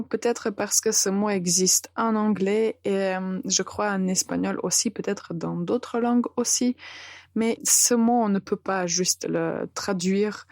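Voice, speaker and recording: A woman in her thirties with a Serbian accent talks through a podcast lesson in a calm, deliberate way and pauses often to hesitate. She speaks very slowly into a close microphone in a dry room with no echo. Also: mid-range voice. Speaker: French teacher and podcast host.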